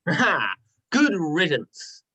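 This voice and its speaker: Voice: mid-range voice, wildly swooping pitch, very slow, bright, forceful tone, husky, full character voice. An amateur actor playing a mocking male trickster god with dry sarcasm in a myth play reading. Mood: gleeful.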